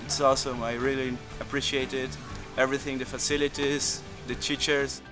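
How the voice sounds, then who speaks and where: Flat-voiced man, Portuguese native speaker. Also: medium pitch, fairly quick, over music. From Brazil. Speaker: language student.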